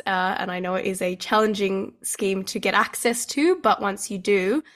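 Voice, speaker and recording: A woman's voice: mid-range voice, fairly bright tone. Woman hosting a podcast interview. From Australia. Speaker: podcast host. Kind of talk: conversational interviewing.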